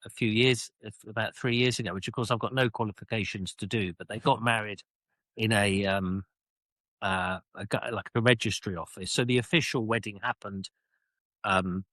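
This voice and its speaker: A middle-aged British man telling his own story as an interview guest, reflective and halting, often repeating words as he searches for phrasing. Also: on the low side, steady tone, a bit bright, no room sound. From United Kingdom. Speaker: interview guest, author and former army officer.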